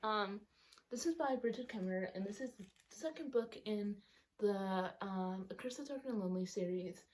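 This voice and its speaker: A woman's voice: mid-range voice, moderate pace, darkish tone, a little hiss or hum. A young woman chatting casually in a book vlog, rambling and correcting herself with lots of ums, her speech a little altered by the retainer she is wearing. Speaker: book vlogger. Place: outdoors or by a sunny window at home.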